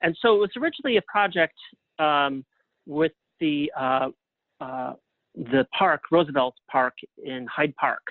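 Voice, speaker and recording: Man on a thin phone line, higher-pitched voice. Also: a little slow, no echo. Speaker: guest, playwright and librettist. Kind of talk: casual interview answers.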